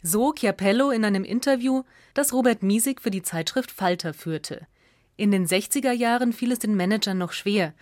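A woman reads a scripted radio essay as narrator in a measured, articulate and factual way. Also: medium pitch, darkish tone, no echo, clean studio sound.

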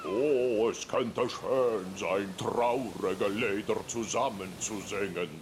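A man playing a melancholy big elephant seal in a puppet theatre play, lamenting in a mournful, drawn-out way over music. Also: lowish voice, background noise. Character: melancholy big male elephant seal singing sad songs. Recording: loud music under the voice.

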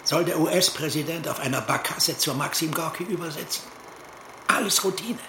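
A man playing a guarded, earnest East German Stasi colonel in a dramatised dialogue, answering in a clipped, evasive way with a very husky voice. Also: on the high side, moderate pace, pushed and bright, echoey room, slight background noise. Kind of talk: dramatised reading of a literary dialogue.

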